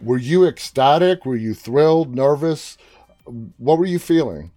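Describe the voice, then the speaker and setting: A man's voice: fairly high pitch, expressive, measured pace, mellow, dry recording, faint music behind. Friendly New York man, dry sound. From Queens, New York. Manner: friendly, enthusiastic questions. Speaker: interviewer. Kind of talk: interview chat.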